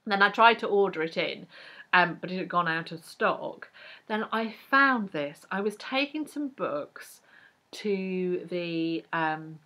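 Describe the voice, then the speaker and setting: A woman's voice: fairly low pitch, animated, slow, bright, forceful tone. A young English woman chatting to camera as a book vlogger, rambling with fillers and restarts. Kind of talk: casual chat to camera.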